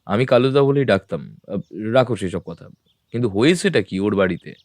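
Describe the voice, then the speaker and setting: A man playing a composed, observant detective in an audio drama, talking in a measured, thoughtful, familiar way. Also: on the low side, very animated, darkish tone, no room sound. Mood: curious.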